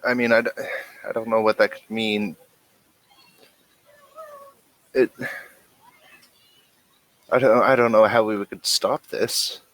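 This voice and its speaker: A man playing an anxious, shaken ship navigator in a tabletop role-play, speaking haltingly and stammering. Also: fairly low pitch, steady tone, a bit bright, no echo, rough recording. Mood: shaken, fearful. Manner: hesitant, stammering.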